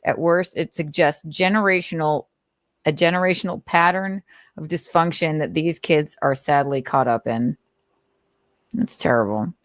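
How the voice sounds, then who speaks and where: Low-voiced, sardonic woman commentator. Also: no echo. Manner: sardonic, exasperated, swears casually. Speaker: host. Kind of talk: commentary mixed with dramatic reading.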